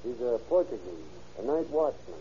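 A man's voice: medium pitch, big pitch swings, normal speed, warm tone, close to an everyday voice. Man acting as earnest policeman, muffled old radio. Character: earnest, determined police officer reporting to his chief. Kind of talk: 1930s radio drama acting. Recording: dull, muffled old radio broadcast with hum.

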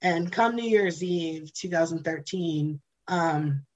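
A woman guest in a casual interview, telling candid, animated stories, swearing casually and laughing often. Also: low-pitched, takes it slowly. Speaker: interview guest, blues jam host.

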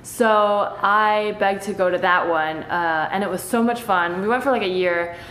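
A woman's voice: medium pitch, moderate pace, fairly bright tone, a little hiss or hum. A woman podcast host from the Bay Area whose first language was Chinese, chatting casually with many "like"s. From San Francisco Bay Area. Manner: chatty, lots of "like". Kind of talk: casual chat. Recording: echoey room.

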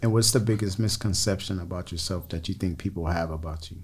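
A man hosting a podcast, asking casual interview questions in a relaxed, slangy way. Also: low voice, fast talker, slightly rough. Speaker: podcast host.

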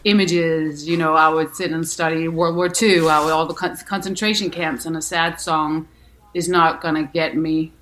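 Interview guest: a woman from Denmark with a lowish voice, frank and rambling. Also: fairly even intonation, moderate pace, fairly bright tone, slight background noise. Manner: candid, many restarts and "you know" fillers. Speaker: actress and musician guest. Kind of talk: casual interview answers.

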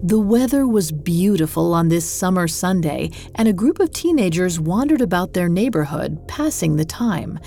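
Low-voiced woman narrating true crime. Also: animated, moderate pace, creaky, hardly any pauses, mellow. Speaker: podcast host and narrator. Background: music.

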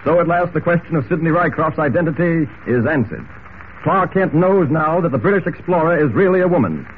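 A man's voice: a little high, animated, fairly quick, natural voice. A man playing a dramatic, urgent announcer, narrating a 1940s radio serial. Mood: suspenseful. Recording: tinny, hissy old radio recording.

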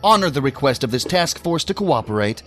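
Firm, coolly threatening government agent. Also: male voice, mid-range voice, sing-song, a bit bright. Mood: firm, veiled threat. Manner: formal, measured, pointed. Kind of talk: sci-fi audio drama acting. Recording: music behind the voice.

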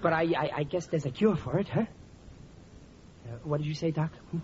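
A man's voice: on the high side, moderate pace, natural voice. A man playing a bumbling, gullible but sly everyman in 1940s radio comedy, stammering and repeating himself in a blustery way. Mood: sheepish, sly. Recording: muffled old radio recording, clear surface noise.

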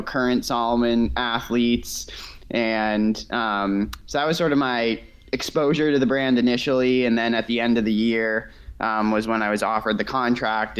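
A man's voice: mid-range voice, smooth, poor audio. A young man from Massachusetts, a guest in a casual interview over a remote call, talking in a hesitant way with many fillers and restarts. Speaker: guest, ultra runner.

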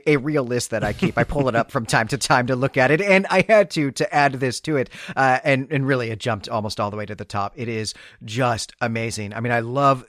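A man's voice: medium pitch, a bit bright, no echo, studio quality. A man co-hosting a book podcast, talking eagerly and laughing easily as he discusses a story. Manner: enthusiastic, quick to laugh, many restarts. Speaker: podcast co-host.

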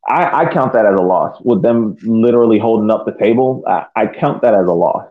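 A man co-hosting a soccer podcast, giving casual analysis in a halting, deliberate way. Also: on the low side, expressive. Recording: tinny phone-like call audio.

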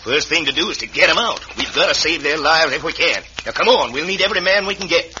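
A muffled, noisy old radio western carries a brisk lawman , played by a man. He has a Western American accent and a very lively delivery. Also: on the high side, close to an everyday voice. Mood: urgent. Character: brisk, decent western sheriff. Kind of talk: 1950s radio western acting.

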